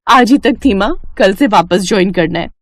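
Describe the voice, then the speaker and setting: A woman's voice: mid-range voice, animated, moderate pace, dry recording, clean studio sound, close to an everyday voice. A woman voicing a polite, sensible young daughter-in-law in a moral story, agreeable in manner. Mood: cheerful. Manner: agreeable, deferential.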